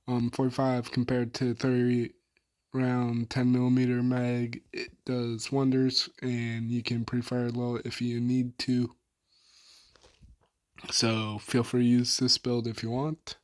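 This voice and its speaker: A young gamer talks through his loadouts in a hoarse, worn voice, speaking slowly with a flat, even tone. He trails off often and fills pauses with "um". The sound is dry and close, with no room around it. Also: medium pitch, no room sound. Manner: laid-back, filler-heavy, trailing off. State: hoarse, soft on mic. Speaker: gaming YouTuber. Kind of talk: casual weapon build rundown.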